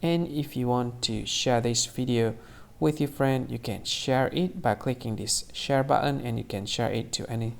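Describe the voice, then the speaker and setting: A man's voice: mid-range voice, moderate pace, vocal fry. A man hosting a casual explainer video, repeating himself in simple, informal wording. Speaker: video host. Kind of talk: casual explainer to camera or screen.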